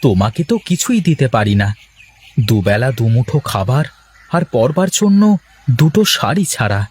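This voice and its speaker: A man voicing a poor, excitable village fisherman in a folksy moral-story cartoon. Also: mid-range voice, moderate pace, breathy edge. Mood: tender, regretful.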